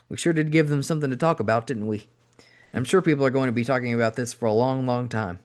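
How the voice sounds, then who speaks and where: A man voicing a gruff old wrestler from Texas leaving a mumbled, apologetic phone message with a Texan accent. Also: brisk, darkish tone, close to an everyday voice. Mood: sheepish. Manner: low mumbling.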